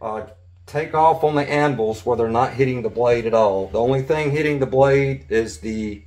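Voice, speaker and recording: A man's voice: fairly low pitch, a little mellow. A middle-aged man giving a hands-on demonstration in a wood-walled workshop, explaining each step plainly with some restarts. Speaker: sawmill blade technician.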